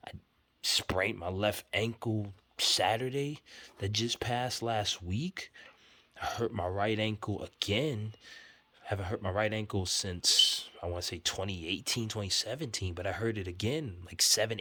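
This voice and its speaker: A man's voice: lowish voice, pushed and bright, dry recording. A man, giving a rambling, self-assured spoken update full of numbers. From New York City. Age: 30s. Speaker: vlogger.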